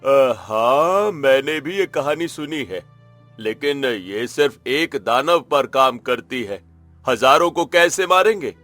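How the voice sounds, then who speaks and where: A man voicing a loyal, anxious warrior chief in an animated story, dramatic and hesitant. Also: medium pitch, slow pace, soft background music. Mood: concerned.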